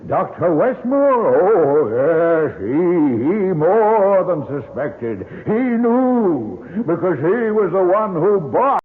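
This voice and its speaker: High-pitched, deranged murderous manservant. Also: male voice, very animated, slow pace, few pauses, heavily acted. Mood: gloating confession. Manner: ranting, gloating, theatrical with mad chuckles. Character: deranged murderous manservant, body snatcher and killer. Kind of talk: old-time radio mystery acting. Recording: narrow-band vintage radio sound.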